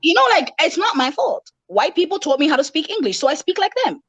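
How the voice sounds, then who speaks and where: A woman's voice: sits high, very animated, quick pace, fairly bright tone, dry recording, highs cut off. Confident Malawi-raised woman rapper, candid guest chat, dry recording. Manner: candid, self-assured, talks in long flowing runs. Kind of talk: casual interview chat.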